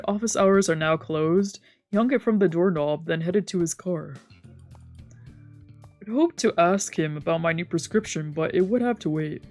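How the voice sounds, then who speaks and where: A woman streamer reading a visual novel's narration aloud. Her voice sits slightly low and she keeps a steady, even storytelling pace.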